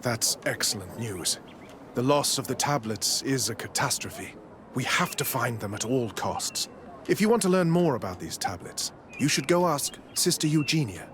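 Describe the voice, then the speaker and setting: An actor playing a zealous, smooth-talking priest in a video game, formal, preachy and condescending. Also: male voice, mid-range voice, lively intonation, normal speed, background noise, natural voice. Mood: earnest, concerned. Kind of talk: scripted video game dialogue.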